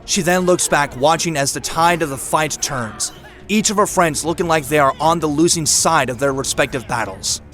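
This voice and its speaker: A man's voice: on the high side, moderate pace, fairly bright tone. A man narrating an action audio drama from a script, describing the scene in the present tense. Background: dramatic music.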